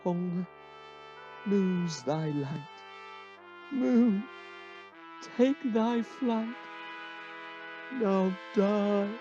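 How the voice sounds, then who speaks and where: A woman's voice: mid-range voice, very slow, pauses often, warm tone. A woman acting a bombastic amateur tragedian as a dying lover in a Shakespeare radio play. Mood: melodramatic dying. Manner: bombastic, overblown declaiming. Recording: dull recording with brass music.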